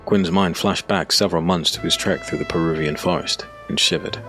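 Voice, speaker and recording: A man reading scripted dark fantasy narration in a measured, dramatic, gravelly voice. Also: sits low, fairly even intonation, faint music behind. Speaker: audiobook narrator.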